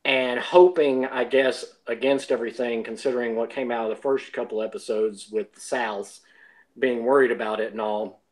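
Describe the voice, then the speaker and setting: A man with a medium-pitched voice talks as a guest in a casual group chat. He thinks aloud in long, winding sentences with some hesitation. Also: fairly even intonation, moderate pace, poor audio. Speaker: audio drama cast member, podcast guest.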